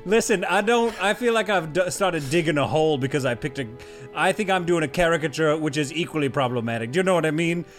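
A man's voice: fairly high pitch, fast talker, soft background music, close to an everyday voice. A man voicing a genteel, self-conscious young southern elf with a Southern US accent in an improvised tabletop game. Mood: flustered. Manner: courtly, folksy, apologetic. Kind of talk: improvised tabletop role-play.

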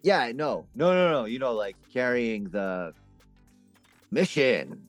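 A man's voice: medium pitch, sing-song, takes it slowly, soft background music. A man playing an eager, scattered amateur ghost-hunter boss in a naturalistic comedic audio drama, hesitant and repeating himself. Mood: flustered, improvising. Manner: hesitant, rambling, repetitive.

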